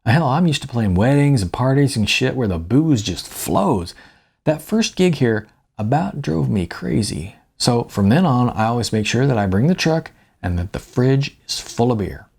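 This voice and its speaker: A man acting out a folksy, gravelly, hearty stout guitarist. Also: medium pitch, very animated, moderate pace, darkish tone, pitched above the performer's own voice, full character voice. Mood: frank, conspiratorial. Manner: folksy, colloquial. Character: hearty, stout, folksy middle-aged guitarist, chummy and conspiratorial.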